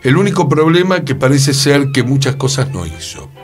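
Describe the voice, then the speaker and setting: Argentine male radio co-host, mid-pitched, very expressive intonation, chatty storyteller who engages listeners. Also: moderate pace, soft background music. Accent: Argentine, Rioplatense. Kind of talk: conversational storytelling about theatre history.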